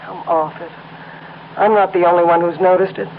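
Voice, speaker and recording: A worried wife who talks calmly and reproaches gently , played by an actress. Her voice sits at a middle pitch. The sound is a thin, phone-like old broadcast with a faint hiss. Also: fairly quick, natural voice. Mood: concerned. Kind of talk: 1970s radio drama acting.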